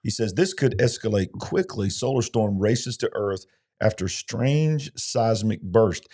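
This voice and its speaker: Man, 50s, Southern US accent, lowish voice, folksy live-show host, casual, repetitive emphasis. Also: expressive, measured pace, mellow. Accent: Southern US (Alabama). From southern United States.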